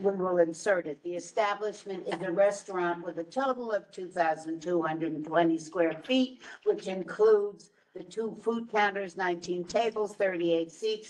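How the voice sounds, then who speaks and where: A woman's voice: lowish voice, a little mellow. A woman giving a committee report at a community board meeting. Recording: distant mic in meeting room.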